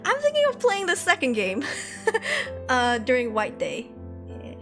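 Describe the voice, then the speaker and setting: A woman's voice: high-pitched, wildly swooping pitch, a little slow, faint music behind. Giggly young woman streamer. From Malaysia. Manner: chatty, laughs often, frequent fillers. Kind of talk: casual chat reading viewer comments.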